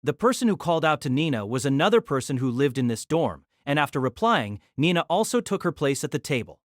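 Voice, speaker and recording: A male narrator reading a light novel aloud, his voice sitting a little high and moving lively through each sentence. The recording is dry and close, with no room sound. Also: fast, dry recording.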